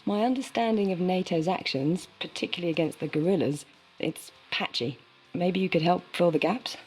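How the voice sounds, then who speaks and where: A woman's voice: on the low side, normal speed, darkish tone, husky, faint music behind, low-quality recording. An actress playing a composed female interviewer in a video game, asking careful, formally worded questions. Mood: curious. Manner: inquisitive, careful, formal wording.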